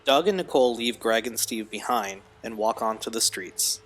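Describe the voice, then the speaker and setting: A man's voice: fairly low pitch, moderate pace, fairly bright tone. A man narrating a scripted audio drama as its storyteller. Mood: measured.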